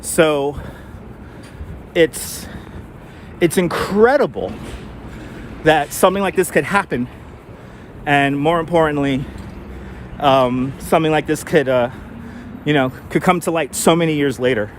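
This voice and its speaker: A man with a mild New York accent, his voice sitting a little on the high side, chats with his viewers as he walks outdoors. He thinks aloud and often restarts his sentences. Faint city traffic hums behind his clip-on mic. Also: unhurried, pauses often. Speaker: live stream host. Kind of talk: casual walking live stream, chatting with viewers. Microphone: wireless clip-on mic. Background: faint street traffic.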